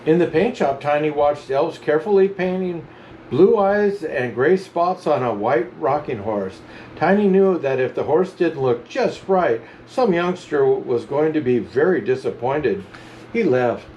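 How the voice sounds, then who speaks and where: A man reading a children's storybook aloud as a Santa storyteller, with animated, chuckling narration. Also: on the high side, unhurried, highs cut off, slight background noise. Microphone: camera mic.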